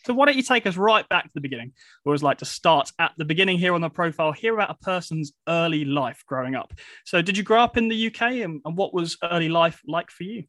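A man's voice: on the high side, expressive, fast talker, a bit bright, dry recording. A man hosting a radio interview show, with a polished, upbeat presenter delivery in a studio. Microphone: studio mic.

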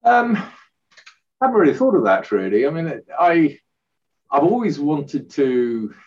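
A middle-aged man from Northern Ireland answering interview questions, hesitant, with many pauses and restarts. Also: fairly high pitch, big pitch swings, husky, distant mic, dull audio. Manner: hesitant, lots of uhs and restarts. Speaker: guest, charity chief executive.

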